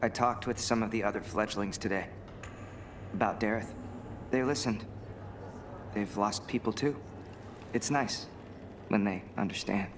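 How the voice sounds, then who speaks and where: An actor playing a grieving, withdrawn and quietly earnest man in dramatic video game dialogue. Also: on the low side, unhurried, pauses often, close to an everyday voice. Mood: subdued, earnest. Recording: game audio with clear background noise.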